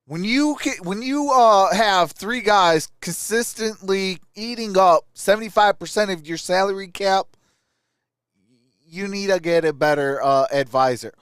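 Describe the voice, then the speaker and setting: Guy doing casual sports livestream commentary, smooth voice on the higher side, full of opinions. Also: unhurried, a bit bright, no echo, studio quality. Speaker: live stream host. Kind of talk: casual sports commentary, answering viewer chat.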